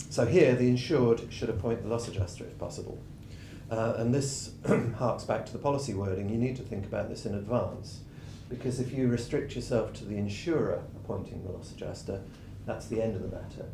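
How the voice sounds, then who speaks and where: Hoarse man presenting on tax. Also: darkish tone. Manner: measured, explanatory, with frequent ums. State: losing his voice, clearing his throat. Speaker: tax adviser presenting a session. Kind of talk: conference presentation. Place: conference room. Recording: echoey room with rumbling noise.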